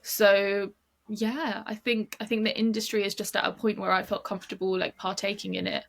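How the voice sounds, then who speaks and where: A woman's voice: mid-range voice, little pitch movement, normal speed, creaky. A young British woman chatting as a podcast guest, filling her talk with "like" a lot. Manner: chatty, fills with "like" often. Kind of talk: casual interview chat.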